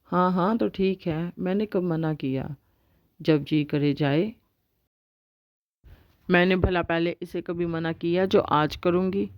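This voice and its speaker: A woman's voice: fairly low pitch, slow, pauses often, dry recording, highs cut off. A woman voicing a fussing but permissive older mother-in-law. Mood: indulgent.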